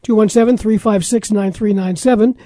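Very dark, mellow-voiced man hosting radio. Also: sits high, fairly even intonation, fairly quick, dry recording, studio quality. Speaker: sports talk radio host. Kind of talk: casual radio sports talk.

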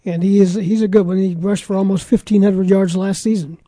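A man hosting a sports talk radio show, casual and easygoing, with a measured way of talking. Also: sits high, fairly even intonation, mellow, no echo.

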